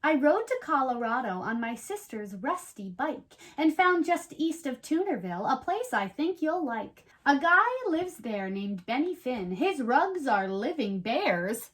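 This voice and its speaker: A woman playing a perky, chatty reading buddy who reads storybooks aloud on a children's show with animated, playful asides. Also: high voice, a little slow, hardly any pauses. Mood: chirpy. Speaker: co-host reading a picture book aloud.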